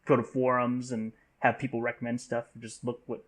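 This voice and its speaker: A man with a medium-pitched voice chats casually on a podcast panel. He stumbles through his thoughts, repeating phrases and leaning often on the word like. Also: completely flat delivery, fairly quick.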